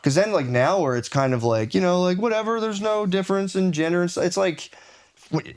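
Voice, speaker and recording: A man's voice: high-pitched, fairly quick. A middle-aged American man chatting casually, in a hedging, self-deprecating, conversational way. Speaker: podcast guest. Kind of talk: casual chat.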